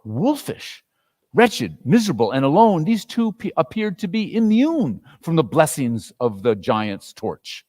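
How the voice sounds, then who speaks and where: High-voiced man, very animated storyteller. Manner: expressive, theatrical. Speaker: dramatic reader. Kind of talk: dramatic story narration.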